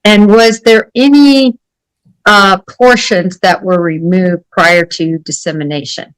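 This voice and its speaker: A woman speaking off the cuff as a guest analyst, deliberate and emphatic in her delivery. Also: mid-range voice, steady tone, clean tone, dry recording, poor audio. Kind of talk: unscripted case analysis in an interview.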